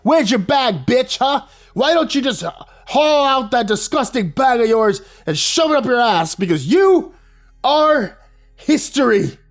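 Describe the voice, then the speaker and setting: A man voicing a jittery, brash skinny boy who shouts in panic and pleads when caught. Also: medium pitch, normal speed, faint music behind, natural voice. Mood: furious shouting.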